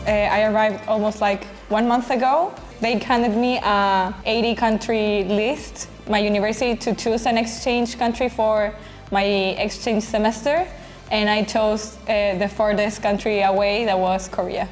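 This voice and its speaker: A cheerful woman from Chile, an exchange student, answers interview questions in a loose, rambling way. Soft music plays faintly behind her. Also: mid-range voice, fairly even intonation, unhurried, low-quality recording.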